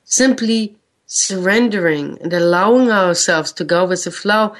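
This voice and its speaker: A woman with a German accent hosting a spiritual radio show, speaking in a gentle, earnest way with slow, drawn-out phrases. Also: lowish voice. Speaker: spiritual radio host and channel. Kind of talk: reflective spiritual talk.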